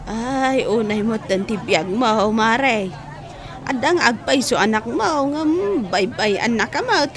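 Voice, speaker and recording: A woman's voice: on the high side, expressive, takes it slowly, crowd noise. An actress playing a concerned, gossipy older widowed village woman in a radio drama. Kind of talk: Ilocano radio drama acting.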